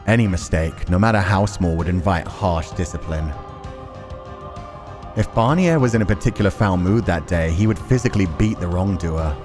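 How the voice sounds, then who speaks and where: A man's voice: deep voice, normal speed, music in the background, lightly acted. A man reading the part of a bitter, ambitious man from the slums, telling his past grimly in a visual novel.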